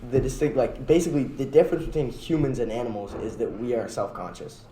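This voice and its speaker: Male podcast co-host, opinionated, conversational, echoey room with clear background noise. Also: mid-range voice, animated, fast, dark tone. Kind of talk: casual debate among friends.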